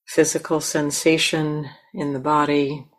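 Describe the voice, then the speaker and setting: Very slow-paced woman guiding meditation. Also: deep voice, flat delivery, poor audio. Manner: gentle, unhurried, with long pauses. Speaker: meditation teacher. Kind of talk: guided meditation.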